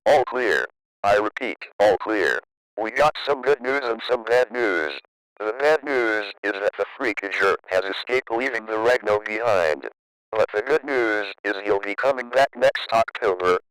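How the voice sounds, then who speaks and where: Male announcer for emergency alert narration: formal, measured, crisp tone, dry recording. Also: on the low side, fairly bright tone, no room sound, rough recording. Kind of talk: scripted emergency broadcast narration.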